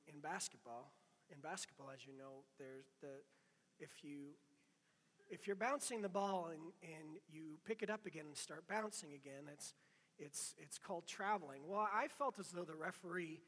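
Hoarse, bright-voiced preacher man. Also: on the high side, big-room sound. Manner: conversational, self-deprecating, many restarts. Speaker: pastor. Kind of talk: casual sermon.

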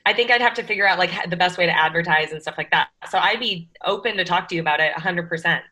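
A woman's voice: fairly low pitch, fast, pushed and bright. A woman guest on a podcast, an energy coach who runs her own podcast, chatting casually and openly over a video call. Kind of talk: casual interview chat.

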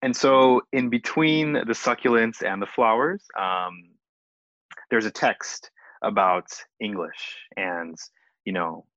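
A man's voice: fairly low pitch, takes it slowly, fairly bright tone, no room sound, highs cut off. A young man chatting casually as a guest, speaking hesitantly with many fillers and frequent laughs. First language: not Japanese. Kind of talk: casual chat.

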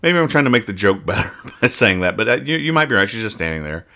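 A man's voice: lowish voice, sing-song, fast, bright, forceful tone, slight background noise. A man hosting a casual comedy podcast, over-explaining with mock earnestness and stumbling repetitions. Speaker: podcast host. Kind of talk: casual comic-strip review banter.

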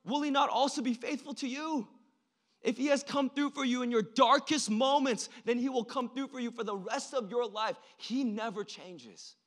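A young man preaching a sermon in an informal, slangy way, swinging between hushed and impassioned delivery. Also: extremely high pitch, normal speed. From born in South Korea. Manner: informal, youthful slang, frequent "like" and rhetorical questions.

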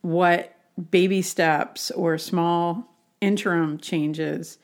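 Low-pitched woman coaching conversationally. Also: fairly even intonation, very slow. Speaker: health and wellness coach. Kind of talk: conversational explanatory coaching talk.

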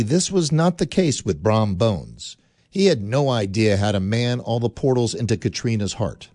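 A man narrating a radio drama in a measured storytelling manner with dry wit. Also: medium pitch, mellow, no echo.

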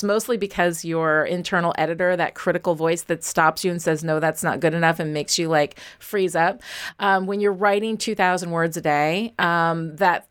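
A woman's voice: on the low side, a bit bright, smooth. A woman guest, a novelist and writing teacher, talking candidly and informally in an interview, with a self-deprecating, storytelling way of speaking.

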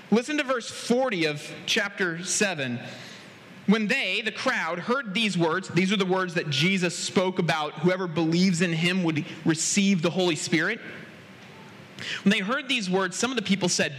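A man from America preaching a sermon as pastor, telling stories with quoted dialogue and a pace that speeds up and slows down. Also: sits high, sharp tone, a little hiss or hum. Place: church sanctuary. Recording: long hall reverb.

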